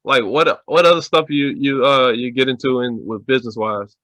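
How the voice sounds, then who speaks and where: Bright, dry-voiced male podcast host. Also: mid-range voice, no room sound. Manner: halting questions, short backchannels. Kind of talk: casual podcast interview.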